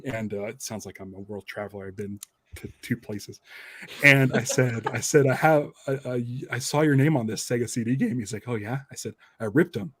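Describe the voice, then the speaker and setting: A man's voice: mid-range voice, fairly quick, husky. A man co-hosting a podcast, chatting casually and telling anecdotes with enthusiasm. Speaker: podcast co-host.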